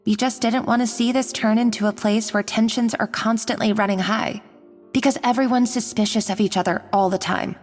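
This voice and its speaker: A woman's voice: fairly high pitch, steady tone, fairly quick, long reverb tail, faint music behind, close to an everyday voice. An actress playing a loyal, earnest female restaurant worker in a video game, speaking insistently. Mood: earnest, aggrieved.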